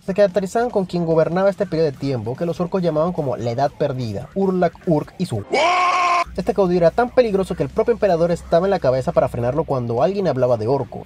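A man's voice: a little high, warm tone. A man narrating a lore explainer, speaking informally and addressing the viewer directly. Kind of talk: scripted narration.

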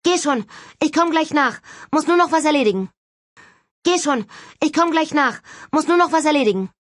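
A woman's voice: high-pitched, expressive, moderate pace, no echo, studio quality, voice pushed up. A woman voicing a plucky, blunt teenage girl ghost hunter in an audiobook, spirited and urgent. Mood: brisk, urgent.

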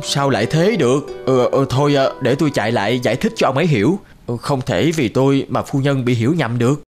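Poor young fisherman, cartoon folktale, male actor: humble, good-natured, hesitant, medium pitch, music bed. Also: fairly quick, darkish tone, natural voice. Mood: flustered, worried. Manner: hesitant, plain-spoken. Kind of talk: Vietnamese animated folktale dubbing. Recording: music behind the voice.

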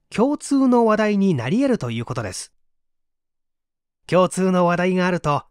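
Audiobook narrator, scripted explanatory reading, animated intonation, clear articulation, dry close recording. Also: mid-range voice, dry recording. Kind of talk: scripted book read-aloud narration.

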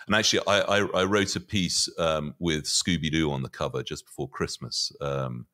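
A middle-aged man giving conversational market commentary as a guest, often halting and repeating words as he thinks. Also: very deep voice, steady tone, bright, forceful tone. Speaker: podcast guest, finance commentator. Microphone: podcast mic on boom arm.

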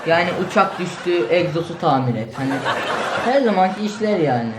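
A woman's voice: on the low side, lively intonation, brisk, lightly acted. A woman playing a goofy, bumbling male superhero in a children's comedy skit, rambling and offhand. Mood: offhand, awkward. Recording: echoey room with clear background noise.

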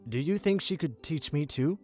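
A man's voice: a little high, lively intonation, normal speed, darkish tone, soft background music, lightly acted. A man playing an eager but awkward young merman prince, speaking hesitantly in an audio drama.

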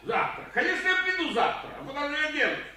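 An actor playing an excitable, proud uncle who is a war veteran, in an old radio play. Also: male voice, very high voice, quick pace, heavily acted. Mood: indignant. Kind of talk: 1980s Soviet radio theatre acting.

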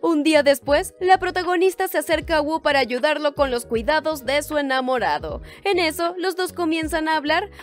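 A woman reading a scripted plot recap as a storytelling narrator. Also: high voice. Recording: voice-over with music underneath. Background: background music.

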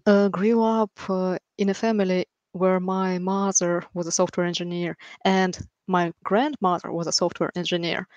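Female podcast guest, Ukrainian accent, lowish pitch, measured and drily funny, dry headset sound. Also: level tone, darkish tone, no echo, highs cut off. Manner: dry understated humour. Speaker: podcast guest, software engineer. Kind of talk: measured interview storytelling. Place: room at home, video call. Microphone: headset with boom mic.

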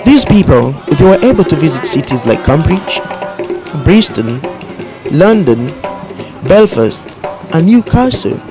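A man narrating a documentary voice-over in a formal, lively way. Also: on the high side, a little slow. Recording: voice-over with clear background music.

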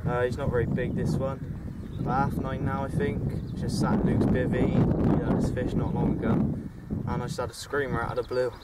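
A young man chatting casually about his catch by a lake outdoors, in an upbeat, relaxed way. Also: mid-range voice, moderate pace, hardly any pauses. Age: young adult. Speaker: angler vlogger. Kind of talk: casual fishing commentary. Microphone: camera on a tripod from a few feet away. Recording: distant outdoor camera audio, loud wind. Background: wind and outdoor ambience.

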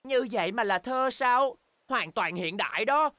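A man's voice: very high-pitched, expressive, unhurried, sharp tone, exaggerated voice. A man voicing a cheerful, boastful young bear in a language children's cartoon dub, exclaiming with enthusiasm. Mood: enthusiastic.

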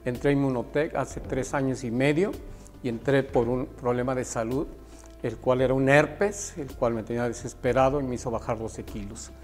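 Middle-aged man, Mexican accent, firm pitch to camera, music under. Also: steady tone, moderate pace. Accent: Mexican Spanish. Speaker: company testimonial speaker. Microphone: lapel mic.

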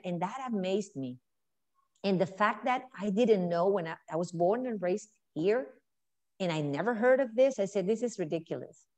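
A woman's voice: medium pitch, a little mellow. A woman in her fifties with a Colombian accent, a conservationist guest telling her story earnestly over a video call with earbuds. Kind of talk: casual interview. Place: indoor home room. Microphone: earbud mic on video call.

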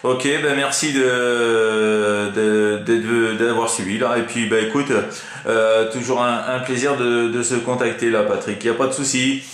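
A man's voice: mid-range voice, fairly even intonation, measured pace, a bit bright. Very echoey man chatting on CB radio. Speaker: amateur CB radio operator. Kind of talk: casual radio contact chat. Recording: very echoey radio microphone sound.

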